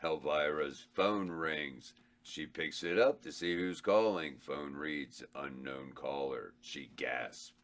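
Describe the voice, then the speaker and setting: A man's voice: a bass voice, takes it slowly, hardly any pauses, fairly bright tone. A man reading a comedy horror script's stage directions aloud in a matter-of-fact tone at a table read.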